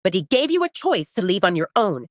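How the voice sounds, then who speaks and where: A woman's voice: mid-range voice, sing-song, fairly quick, no room sound, clean studio sound, lightly acted. Woman acting a blunt, taunting male pack aide. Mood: blunt warning.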